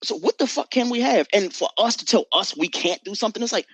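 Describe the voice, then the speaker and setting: A woman calling in with a blunt, profane, animated rant. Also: medium pitch, fast talker, breathy edge, dry recording, rough recording. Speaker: caller. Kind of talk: casual opinion rant.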